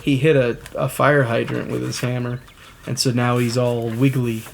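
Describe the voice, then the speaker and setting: A woman's voice: a bass voice, flat delivery, normal speed, creaky, heavy background noise. A woman reading a picture book aloud, adding chatty asides and thinking aloud as she explains the pictures. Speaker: storybook reader.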